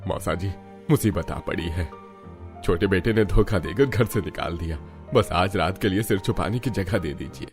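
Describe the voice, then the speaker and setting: A man's voice: deep voice, normal speed, close to an everyday voice. An actor playing a simple, trusting old village father in a cartoon story, with music behind him. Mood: humbled. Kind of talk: Hindi cartoon story dub.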